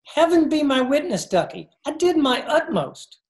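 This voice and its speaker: Casting: mock-courtly gangster's sidekick, very animated delivery, smooth and flowery, vintage radio crime play. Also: female voice, high voice, moderate pace. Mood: obsequious. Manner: florid, theatrical, over-polite. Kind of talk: 1940s radio crime drama acting. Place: home, recorded over video call.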